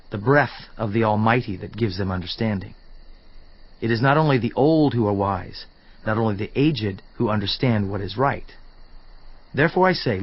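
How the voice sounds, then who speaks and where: Young actor as Elihu, an impassioned young man, husky and animated in delivery, slightly muffled audio. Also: on the low side. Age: young adult. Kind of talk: dramatized scripture reading.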